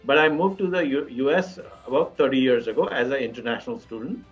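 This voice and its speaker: A man in his fifties with an Indian accent recounts his life as an interview guest. His phrasing is halting and repetitive, at an average male pitch. The audio is dull and lo-fi, with faint music underneath. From Mumbai, India. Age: 50s. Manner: halting, repetitive phrasing with frequent you-knows.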